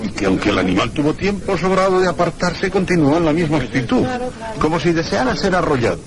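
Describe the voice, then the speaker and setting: An actor playing an earnest train conductor in a radio drama, explaining emphatically. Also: male voice, a little high, sing-song, quick pace, a crowd in the background. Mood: earnest, baffled. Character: earnest male train conductor reporting a mystery. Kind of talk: Spanish radio drama acting.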